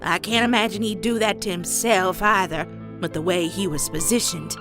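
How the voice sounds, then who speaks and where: A woman voicing a stern, bitter old farm grandmother pony in a comic dub, with a Southern US accent and folksy, deliberate delivery. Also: fairly low pitch, lightly acted. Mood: grave, confessional. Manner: folksy, slow, deliberate storytelling. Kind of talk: dramatic character acting in comic dub. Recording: dramatic music behind.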